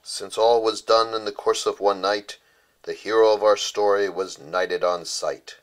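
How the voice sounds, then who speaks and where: A man reads a children's storybook as its author. His voice sits on the low side. The delivery is rhymed bedtime storytelling. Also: steady tone, unhurried, low-quality recording. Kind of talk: rhyming bedtime storybook narration.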